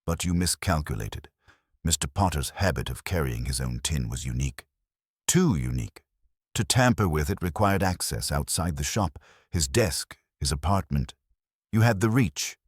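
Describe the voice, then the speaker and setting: A man's voice: very deep voice, lively intonation, normal speed, breathy edge, no echo, clean studio sound, full character voice. A man reading the part of a stern, imperious older detective in formal, clipped, declarative sentences for an audio mystery. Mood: accusing, stern.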